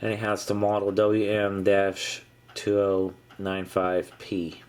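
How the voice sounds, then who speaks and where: A middle-aged man giving a casual, unscripted show-and-tell in a halting, matter-of-fact way. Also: deep voice, monotone. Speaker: vintage electronics hobbyist presenter.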